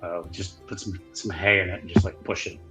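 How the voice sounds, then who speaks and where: A man's voice: low-pitched, flat delivery, fairly quick, sharp tone. A man chatting casually as a player in a tabletop roleplaying game. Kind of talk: casual chat. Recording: roomy echo with music behind.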